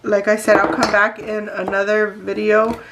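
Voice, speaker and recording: A woman's voice: medium pitch, completely flat delivery, normal speed, noisy background. A woman with a congested, nasal voice from allergies, chatting casually to her viewers as she unboxes nail products. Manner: chatty, talks straight to viewers. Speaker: beauty vlogger.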